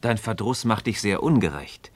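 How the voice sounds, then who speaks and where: An actor playing a composed, wise Freemason mentor in a philosophical radio play, reasoning in a measured, didactic, gently ironic manner. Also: male voice, on the low side, lively intonation, no echo. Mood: calm, corrective. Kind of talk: mid-century German radio play acting of philosophical dialogue.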